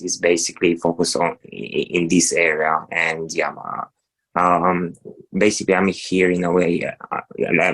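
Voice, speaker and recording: A man's voice: low-pitched, rough recording. A man from Romania on a video call, talking hesitantly with many fillers and restarts. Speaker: meeting participant. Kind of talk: casual chat on a video call.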